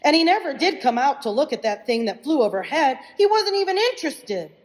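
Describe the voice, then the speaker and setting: Woman playing suspicious neighbor guy riling people up, really lively pitch, play reading, echoey. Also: fairly quick. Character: suspicious, accusatory male neighbor stirring up a crowd. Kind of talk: dramatic read-aloud of a teleplay. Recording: reverberant room.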